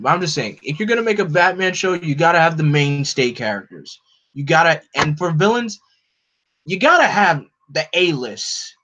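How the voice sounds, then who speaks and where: A man hosting a casual live stream, talking in an opinionated, animated way that jumps up in pitch when he gets excited. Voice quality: no regional accent. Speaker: streamer host. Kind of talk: casual chat to a live audience. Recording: dull lo-fi home stream audio.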